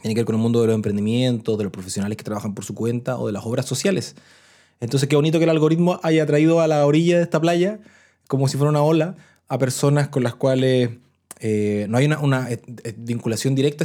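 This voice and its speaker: A Chilean man hosts a podcast in casual, chatty talk. His voice is mellow and dark in tone, and his pitch sits in an ordinary middle range. He often restarts phrases as he talks. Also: brisk. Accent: Chilean Spanish. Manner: chatty, restarts phrases, reads out listener messages. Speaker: podcast host.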